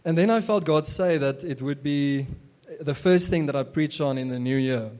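A man's voice: a little high, moderate pace, a little mellow. A young adult man whose first language is Afrikaans, preaching a casual sermon as pastor, with many fillers and restarts.

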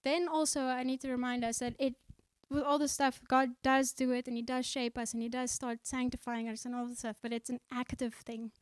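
A woman from South Africa preaching a sermon in the Netherlands. She speaks earnestly and conversationally, slowing down when she reads scripture aloud. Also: high voice, level tone, smooth, no room sound. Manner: conversational, with fillers and restarts.

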